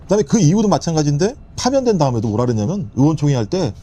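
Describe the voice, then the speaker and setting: A man giving political commentary as a panel guest, listing points rapidly and emphatically. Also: a little high, lively intonation, warm tone.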